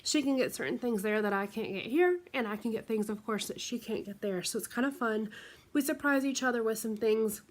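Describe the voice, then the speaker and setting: A woman's voice: fairly high pitch, a little fast, slight background noise. Chatty, congested woman unboxing craft supplies. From United States. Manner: chatty, rambling, many ums and likes. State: congested from the flu. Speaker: craft vlogger. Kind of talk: casual show-and-tell unboxing.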